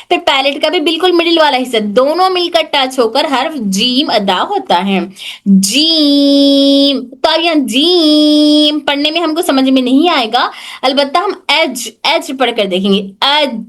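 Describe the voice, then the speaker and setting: Woman teacher, high-pitched, patient, checks in often. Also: a bit bright. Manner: patient, repeats points and checks if students follow. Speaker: Tajweed teacher. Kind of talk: online class lesson.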